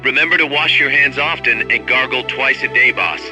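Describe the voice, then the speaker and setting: A brisk military right-hand man in a video game. He explains things in a medium-pitched voice, businesslike and helpful with a wry edge, music playing behind him. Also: bright, forceful tone. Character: brisk, efficient male military second-in-command, helpful, slightly wry. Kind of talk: video game briefing over radio.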